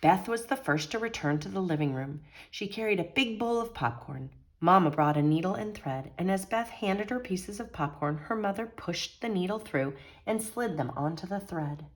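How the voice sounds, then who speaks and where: A woman reading a children's picture book aloud as a storyteller, expressive and teacherly. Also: on the low side, moderate pace, few pauses.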